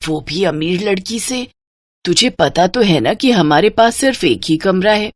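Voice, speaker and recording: A woman's voice: mid-range voice, animated, measured pace, no echo, studio quality, natural voice. A woman voicing a practical, motherly middle-aged mother-in-law from a poor household in a cartoon moral story. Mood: worried.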